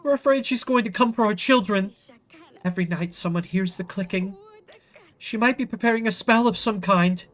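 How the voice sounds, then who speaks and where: Man voicing a frightened villager telling dark tales. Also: high-pitched, pitched above the performer's own voice. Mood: fearful. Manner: dramatic, halting, storytelling delivery. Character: frightened villager, urgently warning of an escaped witch. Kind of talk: acted narrative game dialogue.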